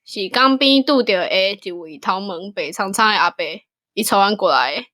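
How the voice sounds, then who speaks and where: A woman's voice: medium pitch, animated, normal speed, a bit bright, no room sound, clean studio sound, close to an everyday voice. A woman playing a hesitant young traveller with a Taiwanese accent in a radio drama. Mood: unsure, polite.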